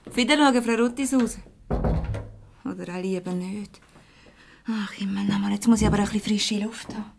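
An actress with a Swiss accent playing a chatty, easily exasperated young secretary in a radio comedy. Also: female voice, mid-range voice, steady tone, a little fast, vocal fry, long pauses, background noise, close to an everyday voice.

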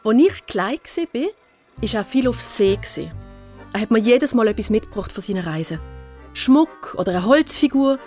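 A woman's voice: fairly high pitch, lively intonation, unhurried, darkish tone, faint music behind. A woman playing a wistful, reminiscing mother in a children's audio story. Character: mother reminiscing about her seafaring father.

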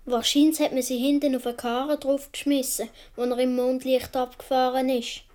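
A woman's voice: high-pitched, level tone, brisk, darkish tone, close to an everyday voice. A woman with a Swiss accent playing a naive young boy of seven or eight, telling his story in a radio play. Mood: wistful, matter-of-fact.

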